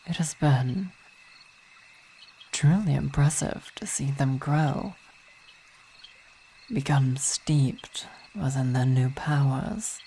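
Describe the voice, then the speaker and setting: A woman playing a weary vampire wife and coven commander, speaking in intimate ASMR roleplay with measured, hesitant, formal phrasing, tender yet imperious. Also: very deep voice, slight background noise, heavily acted, poor audio. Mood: proud, composed. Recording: steady night insect ambience behind voice.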